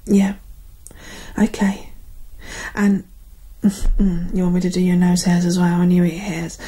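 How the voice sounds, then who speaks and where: A woman in her thirties speaking softly and gently in a casual ASMR roleplay as a barber, often close to a whisper, near the mic at home. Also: lowish voice, flat delivery, husky, rough recording. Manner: gentle, unhurried, casual one-sided chat. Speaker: ASMR performer playing a barber. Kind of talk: soft-spoken ASMR personal-attention roleplay. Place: living room at home.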